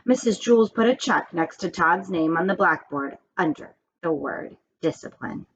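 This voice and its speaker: A woman reading a children's story aloud in an expressive storytelling style. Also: lowish voice, normal speed, slightly rough. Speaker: story reader. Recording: dull, muffled, background noise.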